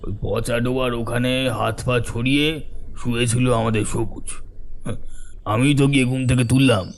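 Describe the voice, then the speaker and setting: A man playing a brisk, familiar village uncle in a horror audio story, urging and mildly scolding. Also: medium pitch, level tone, natural voice. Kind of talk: dramatised horror story dialogue.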